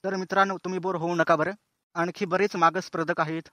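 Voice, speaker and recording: A chatty cartoon show host, played by a man, speaks colloquial banter in a fairly high voice. The sound is very dry, and he teases contestants while addressing viewers like friends. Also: level tone, fairly quick, bright, forceful tone, no room sound. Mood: breezy, bantering. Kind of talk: comic cartoon show presenting.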